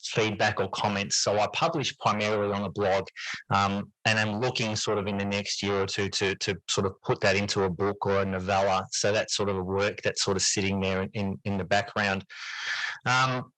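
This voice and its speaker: Male interview guest, lowish voice, close dry sound, thoughtful and conversational, feels his way through sentences. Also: little pitch movement, normal speed, a bit bright, dry recording, poor audio. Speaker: interview guest, teacher and writer. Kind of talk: reflective conversational interview answers.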